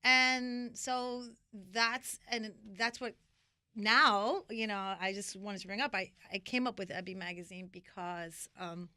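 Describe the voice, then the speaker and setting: Middle-aged New York woman, interview guest; bright, crisp voice, dry close sound, loose animated storyteller. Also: mid-range voice, unhurried, no room sound. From New York (Washington Heights). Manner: animated, rambling, many fillers and restarts. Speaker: interview guest, magazine founder.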